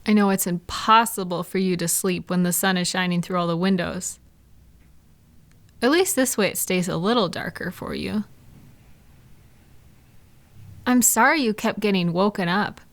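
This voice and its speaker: A woman plays an affectionate girlfriend in an intimate roleplay audio. Her voice sits at a medium pitch for a woman. She chats playfully and reassures her partner with gentle, caring attention, as if in a private one-sided conversation. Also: moderate pace, natural voice. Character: caring, gentle, chatty girlfriend comforting a night-owl partner.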